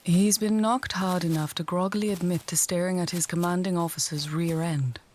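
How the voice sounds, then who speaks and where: Woman playing a low-voiced wry fantasy mage. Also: fairly even intonation, darkish tone, a little hiss or hum, natural voice. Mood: dry teasing. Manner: measured, articulate, dryly teasing. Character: wry, confident female fantasy mage and healer, teasing, caring. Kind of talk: fantasy audio roleplay, addressing the listener.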